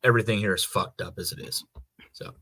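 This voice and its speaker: An American man co-hosting a podcast, explaining things in a casual, loose and halting way. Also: on the low side, steady tone, sharp tone, breathy edge. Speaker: podcast co-host. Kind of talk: casual chat.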